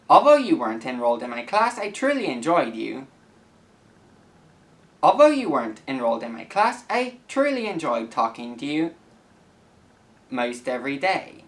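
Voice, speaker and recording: A young man of about eighteen reading messages aloud and commenting to camera as a YouTuber, with an earnest, deliberate, halting delivery. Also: sits high, a bit bright, far from the mic. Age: young adult, about 18.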